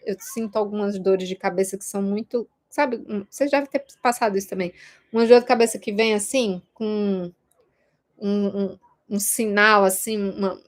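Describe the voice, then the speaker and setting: A woman's voice: medium pitch, steady tone, moderate pace. A woman with a Brazilian Portuguese accent teaching an informal video lesson in a conversational way, often checking in with short tag questions. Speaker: Reiki course teacher.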